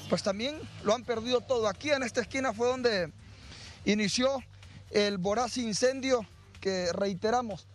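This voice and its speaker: A man's voice: extremely high pitch, moderate pace, faint music behind. A man with a Honduran accent reporting live on TV news from the scene of a fire, outdoors, on a handheld mic, urgent and guiding the camera. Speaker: field reporter. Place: outdoors at burned homes.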